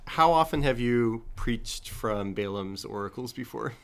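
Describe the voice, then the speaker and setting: A man's voice: mid-range voice, animated, a little slow, creaky, clear, resonant tone. A man hosting a podcast, opening the show and prompting his guest with friendly, upbeat questions. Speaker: podcast host.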